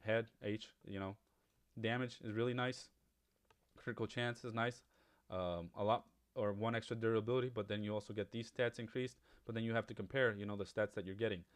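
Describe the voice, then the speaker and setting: A fairly low-voiced man whose first language is Spanish, chatting loosely through gameplay commentary. He rambles, circles back, and fills gaps with ums and you-knows as he weighs options out loud. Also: steady tone, brisk. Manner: rambling, many fillers and restarts. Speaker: gaming YouTuber. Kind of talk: casual game commentary.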